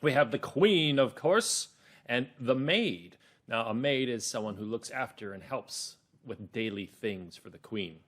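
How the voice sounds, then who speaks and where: A man's voice: medium pitch, lively intonation, unhurried, a bit bright. A man leading a read-along lesson for children, explaining things simply and expressively.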